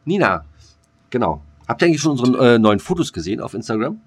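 A man's voice: medium pitch, very animated, fast talker, no echo. A man co-hosting a podcast in casual banter, colloquial and teasing with a mock-serious streak. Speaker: podcast co-host.

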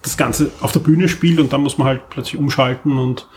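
A man's voice: mid-range voice, steady tone, fairly quick, slight background noise. A man with an Austrian accent hosting a casual podcast discussion, repeating phrases for emphasis. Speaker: podcast host.

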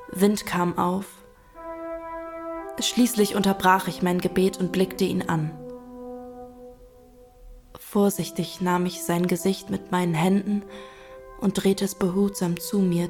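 A woman's voice: lowish voice, creaky. A woman narrating a radio drama in first person, earnest and emotional, as a young pilgrim recalling events.

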